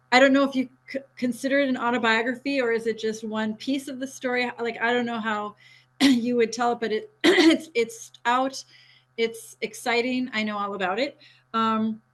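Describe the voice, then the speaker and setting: A woman's voice: on the high side, a bit bright. A woman moderating an informal panel discussion in a conversational, encouraging way.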